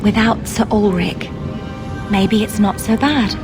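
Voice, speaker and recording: A woman's voice: medium pitch, takes it slowly, natural voice. An actress playing a shaken, timid, grateful young female mage in a video game. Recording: game audio under loud music.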